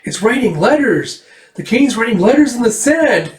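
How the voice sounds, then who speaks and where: A man voicing a defiant, sorrowful young girl with an excitable manner in a tabletop game. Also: high-pitched, normal speed, roomy sound, pitched above the performer's own voice. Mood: excited. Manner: excitable, squealing when startled.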